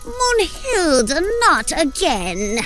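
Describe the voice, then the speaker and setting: A woman playing a solemn, easily exasperated coven leader with prim, deliberate delivery in a comic horror audio drama. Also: sits high, wildly swooping pitch, a bit bright, faint music behind, full character voice.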